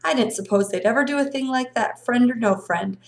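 A woman's voice: a little high, brisk, vocal fry, echoey room, voice pushed up. A woman voicing a fat, sleepy male constable who wheezes and grumbles in a folksy rural drawl for a storybook reading. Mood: amazed. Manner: grumbling, long-winded, suspicious.

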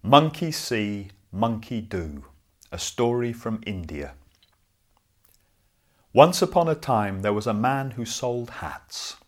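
A man telling a children's story as an oral storyteller, in measured, dramatic cadences with deliberate pauses. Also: fairly low pitch, sing-song.

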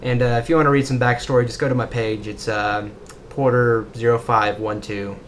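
A man's voice: medium pitch, steady tone, a little fast, a little hiss or hum. A young man co-hosting a casual podcast, rambling and joking about himself as he talks. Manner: rambling, self-deprecating, jokey. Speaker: podcast co-host. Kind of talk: casual chat.

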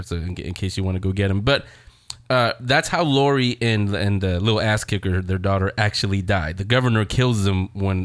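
A man's voice: sits low, no room sound, studio quality. A man hosting a show in a casual, rambling, conversational style, talking straight to his audience. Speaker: show host. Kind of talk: casual chat reviewing TV shows.

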